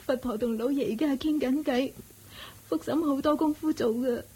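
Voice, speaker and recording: An actress playing a mistreated, despairing young wife in a radio drama, talking in a weepy, pleading way. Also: female voice, sits high, normal speed, warm tone, slightly rough. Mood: distraught. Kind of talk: Cantonese radio drama acting.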